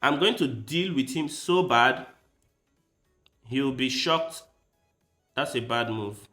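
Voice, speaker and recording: Slow-talking, lively chess streamer man. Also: medium pitch, roomy sound, faint music behind. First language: Igbo. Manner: thinks aloud while playing, with quick bursts of excitement. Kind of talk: casual live-stream commentary.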